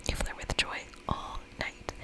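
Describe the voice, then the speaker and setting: A woman whispering in a breathy, gentle, reassuring and chatty way as she hosts an ASMR game playthrough. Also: a little slow. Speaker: host of an ASMR gaming channel.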